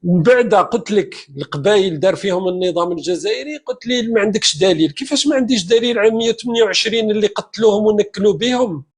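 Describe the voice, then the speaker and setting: Husky, fast-talking Algerian man arguing. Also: sits high. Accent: Algerian Arabic. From eastern Algeria. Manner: combative, scolding. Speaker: live stream host. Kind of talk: heated live-stream debate.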